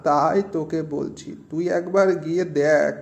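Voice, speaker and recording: Man acting a soft, slow elderly father. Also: a little high, fairly even intonation, gravelly, muffled recording. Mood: coaxing. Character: elderly village father, gentle, coaxing, concerned. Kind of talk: animated moral story dialogue.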